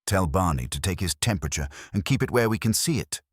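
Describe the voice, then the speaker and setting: A tough male investigator's sidekick played by a man narrating in an audiobook mystery. He is wry and clipped, with a deep, husky voice and lively swings of intonation. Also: quick pace, no echo, studio quality. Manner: clipped, wisecracking.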